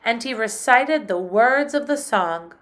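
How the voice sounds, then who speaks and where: A woman music teacher reads a picture book aloud to children. She tells the story slowly and clearly, her voice sitting a little high. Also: animated, a bit bright.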